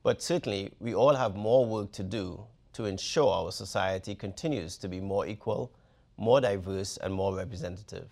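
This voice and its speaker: Low-pitched man, gravelly voice, interview host, hesitant and halting. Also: darkish tone. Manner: hesitant questions with many fillers. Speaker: university president, host. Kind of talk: interview podcast.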